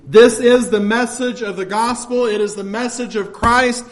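An American man preaches with a strikingly high-pitched voice. His sermon delivery is impassioned and emphatic, urging his listeners on in plain, folksy phrasing. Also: fairly even intonation, moderate pace.

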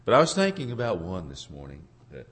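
A hoarse man preaching an informal sermon in a halting, folksy way, searching for his words and clearing his throat. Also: sits low, huge pitch swings, fairly bright tone. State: hoarse from a cold. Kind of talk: informal sermon with congregation responses.

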